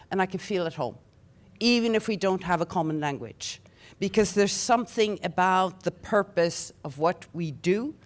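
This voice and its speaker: Norwegian woman, 60s, low-pitched, earnest panel speaker. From Norway. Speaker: panelist, union official. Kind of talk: panel discussion.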